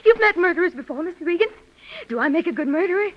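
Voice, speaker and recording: Hysterical, unstable woman, vintage radio mystery. Very high voice, breathless and halting, muffled period recording. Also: lively intonation. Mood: frantic, manic. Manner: breathless, halting, repeating herself. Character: hysterical, unhinged woman confessing to a murder. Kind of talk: 1940s radio mystery acting.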